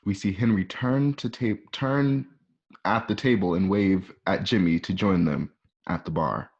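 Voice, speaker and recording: A man's voice: low voice, unhurried, darkish tone. Male radio-play narrator, slightly muffled. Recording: dull lo-fi home recording.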